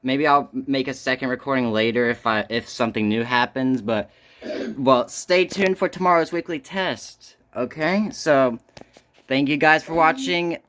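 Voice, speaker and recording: A man with a bright, forward voice and medium pitch talks off the cuff as an amateur video host. His manner is informal, muttering with some exasperation as he works. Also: unhurried. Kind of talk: casual unscripted chat.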